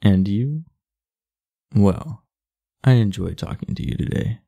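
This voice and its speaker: Man acting deep, gravelly teenage class delinquent. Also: lively intonation, slow pace, mellow, exaggerated voice. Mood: earnest, confiding. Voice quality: gravelly, husky. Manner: laid-back, blunt, confiding. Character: aloof, muscular, blunt teenage class delinquent, secretly earnest. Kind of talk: intimate ASMR roleplay acting.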